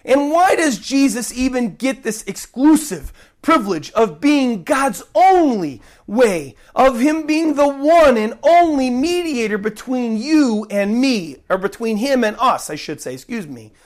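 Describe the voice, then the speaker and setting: A woman's voice: on the high side, big pitch swings, measured pace, hardly any pauses. Woman preaching with a cold-worn voice. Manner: emphatic, repeats key points, swings between loud stresses and soft drops. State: recovering from a cold, losing her voice. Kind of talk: sermon.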